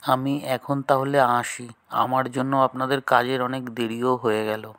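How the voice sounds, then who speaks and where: A man's voice: mid-range voice, steady tone, moderate pace, fairly bright tone, smooth, natural voice. A man playing a kindly, polite young landlord in a cartoon story, speaking earnestly. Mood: helpful. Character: kindly young landlord gentleman in disguise, concerned and helpful.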